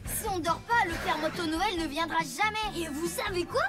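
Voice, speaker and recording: Excitable, very high-pitched little boy motorbike. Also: normal speed, loud music behind the voice. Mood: excited. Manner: eager, wide-eyed, chatty. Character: young boy rescue motorbike, excitable and earnest. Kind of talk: animated children's cartoon dialogue.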